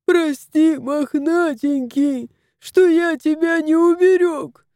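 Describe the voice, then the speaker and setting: A woman's voice: high voice, expressive, slow, dark tone, no echo, studio quality, pitched above the performer's own voice. A woman voicing a tearful little bearded male house spirit who wails and pleads. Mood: sobbing. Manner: wailing, pleading.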